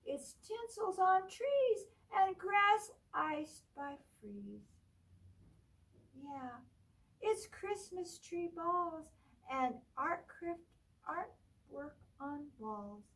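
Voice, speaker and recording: A woman librarian reading a picture book aloud to children in a slow, sing-song voice, gently coaxing her young listeners and lifting her voice on each rhyme. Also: high-pitched. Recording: voice distant from the mic.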